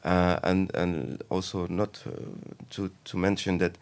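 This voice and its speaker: A man's voice: low voice, little pitch movement, a little slow, darkish tone, smooth. A man from Morocco, an investment advisor and podcast guest, talking through his ideas in a thoughtful, casual way with frequent fillers and restarts. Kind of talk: casual interview talk.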